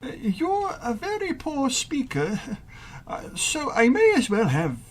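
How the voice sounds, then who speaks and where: A woman's voice: sits high, huge pitch swings, slow pace, full character voice. A woman playing a flustered, giggly monarch in a radio play, muddled and stammering as they preside over a trial. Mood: dithering.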